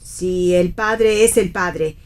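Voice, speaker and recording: A woman's voice: lowish voice, slow pace, a little mellow. An actress playing a polite, naive middle-aged mother, in an earnest, formal manner for radio theatre. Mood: resigned, earnest.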